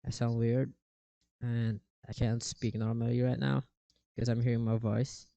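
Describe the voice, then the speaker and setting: A man giving a casual software tutorial in a halting, step-by-step way, speaking into a cheap microphone. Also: mid-range voice, flat delivery, creaky, dry recording. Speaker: tutorial presenter. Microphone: cheap microphone with software processing.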